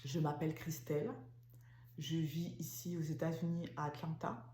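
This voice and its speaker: A woman in her forties from France, talking slowly and haltingly with many fillers in a personal vlog. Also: sits low, level tone, dark tone, echoey room, slight background noise. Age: 40s. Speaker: vlogger, massage therapist. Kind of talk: casual personal vlog talk.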